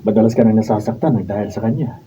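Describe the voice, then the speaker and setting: A man's voice: fairly low pitch, rapid-fire, dark tone, breathy edge. A man with a Filipino accent acting a hesitant friend secretly in love, in a radio drama. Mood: earnest, urging. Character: Carlo, hesitant male friend secretly in love with married woman. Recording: distant, phone-like raw studio video, noisy.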